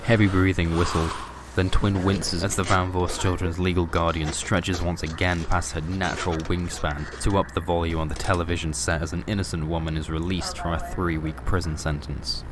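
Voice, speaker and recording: A man narrates a scripted horror story as a podcast host, in measured, literary storytelling. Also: deep voice, little pitch movement, background noise. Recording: music bed under the voice. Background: music.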